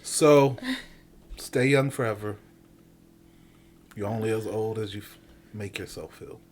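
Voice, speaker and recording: A man in his thirties co-hosting a podcast, musing in a laid-back casual way that now and then breaks into loud laughing rants. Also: lowish voice, measured pace, a little hiss or hum. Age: 30s. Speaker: podcast co-host. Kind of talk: casual chat.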